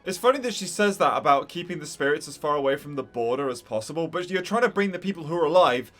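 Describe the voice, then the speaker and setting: A woman doing casual commentary over a video game. Her pitch moves around a lot as she reacts and chats. There is faint music in the background. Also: expressive, fast. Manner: giggly, reactive, chatty. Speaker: gaming video host. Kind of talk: casual game playthrough commentary, reading on-screen text aloud.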